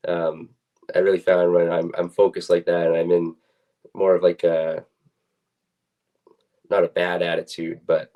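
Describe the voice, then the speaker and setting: A man's voice: deep voice, completely flat delivery, measured pace, pauses often, mellow. A young man, a student athlete, giving casual, plain-spoken and somewhat hesitant interview answers. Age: young adult.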